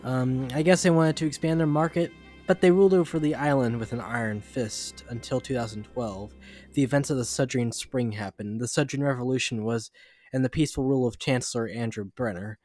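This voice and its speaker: A man's voice: medium pitch, fairly quick, darkish tone, faint music behind, close to an everyday voice. A man playing a solemn male duke in an amateur dramatic reading, declamatory as he addresses his subjects. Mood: grave.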